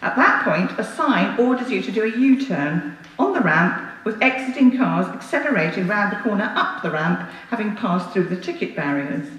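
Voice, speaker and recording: A woman reading a prepared public address to a council in a measured, formal and earnest way. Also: medium pitch. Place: large echoing council chamber. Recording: echoey hall, distant mic, faint noise.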